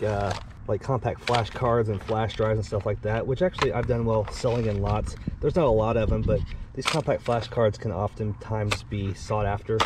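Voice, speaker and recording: Man, 30s, casual rambling vlog talk, mid pitch, head-mounted camera mic, loud background noise. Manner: chatty, rambling, upbeat. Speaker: reseller vlogger. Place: outdoors at a yard auction, then by a car trunk. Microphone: head-mounted action camera.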